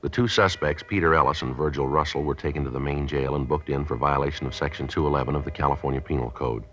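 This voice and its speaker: A man's voice: rumbling low, fairly even intonation, fast, fairly bright tone. An actor playing a stoic police sergeant who narrates his case in a clipped, matter-of-fact radio drama style. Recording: dull vintage radio recording, faint music.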